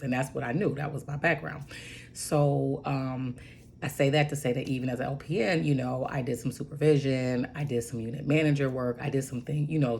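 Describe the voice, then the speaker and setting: A hoarse woman in her forties with a raspy voice, rambling through a casual story with lots of fillers and "right?" asides. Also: very deep voice, darkish tone, a little hiss or hum. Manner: rambling, goes off on tangents with many fillers; emphatic, keeps asking "right?". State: hoarse from bronchitis. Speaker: registered nurse.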